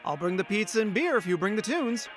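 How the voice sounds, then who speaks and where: Man acting as animated, high-voiced earnest young guy. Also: fast. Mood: joking. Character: earnest, playful ordinary young guy, a lovestruck dreamer. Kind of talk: indie audio drama acting with first-person narration. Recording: music under the voice. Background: music.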